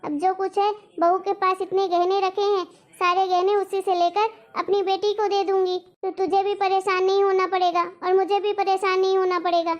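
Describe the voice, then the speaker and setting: A woman voicing a greedy, bossy middle-aged mother-in-law in a Hindi cartoon drama, nagging and insistent, with a pitch-shifted voice. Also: little pitch movement, moderate pace, few pauses. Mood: coaxing, scheming. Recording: dull lo-fi sound.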